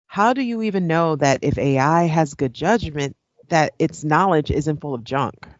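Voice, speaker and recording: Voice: mid-range voice, animated, moderate pace, no room sound, muffled recording, natural voice. A performer playing a persistent, skeptical debater in an audio play, asking probing, insistent and articulate questions. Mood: pressing, skeptical.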